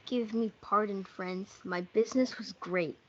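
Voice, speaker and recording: A woman's voice: mid-range voice, measured pace, darkish tone, slight background noise, natural voice. A young woman reading an earnest, lovestruck young man in amateur reader's theatre. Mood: polite. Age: teenager. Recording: dull lo-fi audio.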